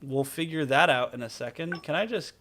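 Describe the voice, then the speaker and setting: Male game streamer, voice a bit high, rambling and joking through casual commentary. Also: fairly quick, noisy background. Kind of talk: casual comedic gameplay commentary.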